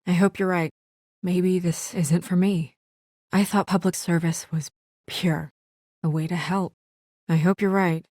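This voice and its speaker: Conscientious young female administrator, video game drama, slightly low pitch, close dry recording, earnest, hesitant, naturalistic. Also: fairly even intonation, a little mellow, dry recording. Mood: downcast, reflective. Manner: measured, serious, hesitant, sincere.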